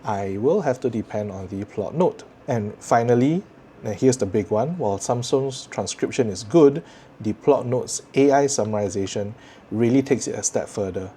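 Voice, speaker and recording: A man with a Singaporean accent presenting a scripted tech product review to viewers in a measured, articulate way. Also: mid-range voice, mellow, a little hiss or hum.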